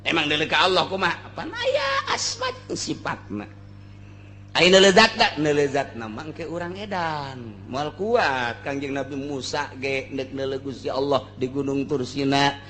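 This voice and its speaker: A man's voice: a little high, very animated, normal speed, bright, forceful tone, a little hiss or hum. A man with a Sundanese accent giving an informal Islamic sermon, speaking in a colloquial, earthy way and repeating phrases for emphasis. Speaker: Islamic preacher and teacher. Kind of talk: informal sermon with Arabic recitation.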